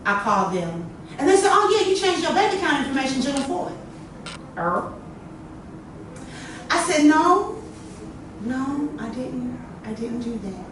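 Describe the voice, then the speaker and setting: Woman, workshop host, fairly high voice, lively storytelling, echoey room. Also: measured pace, long pauses, far from the mic. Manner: lively storytelling with a lot of "you know". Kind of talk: workshop talk to a group. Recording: echoey room, from afar, noisy.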